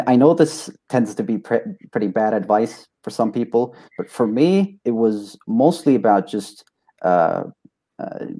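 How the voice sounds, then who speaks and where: A young man from Sweden, a native Swedish speaker, giving casual, halting, modest answers as an interview guest. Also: fairly low pitch, dark tone. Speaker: interview guest, test taker.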